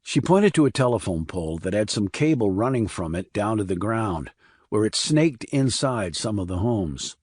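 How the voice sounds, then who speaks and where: Low-voiced man narrating a thriller. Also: big pitch swings, moderate pace, a little mellow, husky, no room sound, studio quality. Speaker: audiobook narrator. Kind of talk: scripted crime thriller narration.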